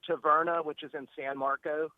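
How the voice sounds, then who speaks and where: A man's voice: on the high side, flat delivery, fairly quick. A man talks casually as a caller on a radio show. He is on a phone line, and the sound is dry with no room echo.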